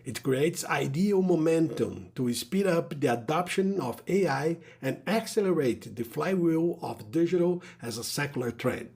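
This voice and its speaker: A man giving a scripted earnings call presentation as a company executive, in a formal, measured corporate manner. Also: on the high side, lively intonation, a little mellow.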